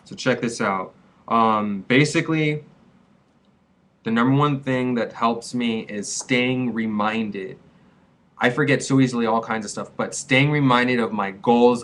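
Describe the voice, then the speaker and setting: A man's voice: lowish voice, a bit bright. A man in his twenties, a small business owner, talking casually and earnestly to camera with a rambling, repetitive flow. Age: 20s. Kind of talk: casual vlog advice to camera.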